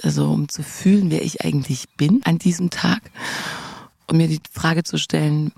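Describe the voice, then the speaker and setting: A woman hosts an intimate, reflective podcast conversation. Her voice is low-lit and mellow, with husky breath and a creaky edge. She speaks gently, sometimes sinking toward a whisper. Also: dry recording. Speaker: podcast host. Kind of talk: reflective, intimate interview talk. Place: outdoors on a meadow in the morning.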